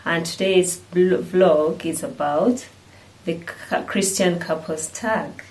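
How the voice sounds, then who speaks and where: Lower-voiced Ugandan woman chatting. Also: takes it slowly, darkish tone, rough recording. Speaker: vlog host. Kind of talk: casual couple chat to camera.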